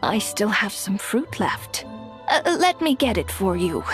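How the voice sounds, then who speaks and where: A woman's voice: medium pitch, big pitch swings, a little slow. A woman voicing a frail, forgetful old grandmother in a game cutscene, doting and hesitant, with music behind her. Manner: hesitant, stammering, fond and fussing. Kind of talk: video game cutscene acting.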